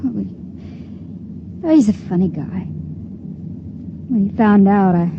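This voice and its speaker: An actress playing a pale, frightened young stowaway in an old radio drama, speaking softly and haltingly. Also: female voice, mid-range voice, close to an everyday voice. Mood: tender. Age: young adult. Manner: soft, halting, stammering. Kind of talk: 1950s radio drama acting. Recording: dull vintage radio broadcast with hiss.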